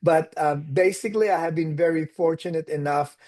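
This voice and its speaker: Man, sixties, Filipino accent, fairly high pitch, reflective, conversational. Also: a little fast, darkish tone. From Philippines. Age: 60s. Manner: reflective storytelling, mixes in Tagalog phrases. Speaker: actor, interview guest. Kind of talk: casual interview conversation.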